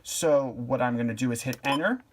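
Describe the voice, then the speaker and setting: A man from Pennsylvania hosting a casual how-to video, giving relaxed, plainspoken step-by-step instructions. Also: medium pitch, moderate pace. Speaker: product reviewer and tutorial host.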